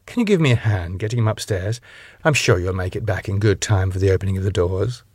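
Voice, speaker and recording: A man's voice: low-pitched, animated, fast, a little mellow, breathy edge, no room sound, clean studio sound. A man voicing a polite, soothing middle-aged woman, the club owner's wife, who talks genteelly.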